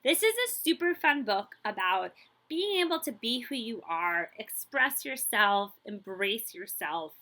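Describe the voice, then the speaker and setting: Woman, children's read-aloud, lively pitch swings, bright tone, expressive emphasis, speaks directly to listeners. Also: a little slow. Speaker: librarian reading a picture book aloud.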